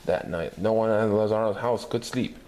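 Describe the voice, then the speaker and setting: A man reading a children's picture book aloud in an animated storytelling manner, putting on voices for characters. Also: fairly low pitch, normal speed, slight background noise. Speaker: story reader.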